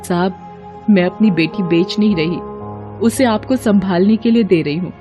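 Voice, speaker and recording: A woman's voice: mid-range voice, dull audio, over music, natural voice. Woman voicing a humble, sorrowful poor mother. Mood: humble resignation. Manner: pleading, sorrowful, halting. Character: poor widowed young mother, humble, tearful and devoted. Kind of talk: acted dialogue in a moral story.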